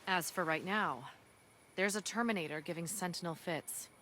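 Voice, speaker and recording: An actor plays a battle-hardened resistance fighter from an apocalyptic future in a video game cutscene. The delivery is earnest, solemn and deliberate. Ambient noise is clearly audible behind the voice. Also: mid-range voice, bright, forceful tone, close to an everyday voice. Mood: matter-of-fact.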